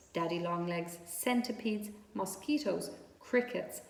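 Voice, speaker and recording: A woman's voice: medium pitch, vocal fry, darkish tone, far from the mic. Storybook spider, female, acted by a woman: genteel, frank, matter-of-fact, thin pleasant tone, echoing room. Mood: brisk relish. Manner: matter-of-fact, precise, unapologetic.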